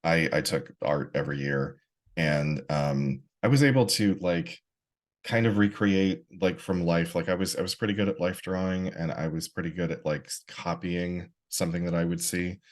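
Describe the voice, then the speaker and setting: Deep-voiced relaxed man co-hosting. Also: normal speed. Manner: relaxed, casual, lots of "like" fillers. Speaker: podcast co-host. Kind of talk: casual podcast conversation.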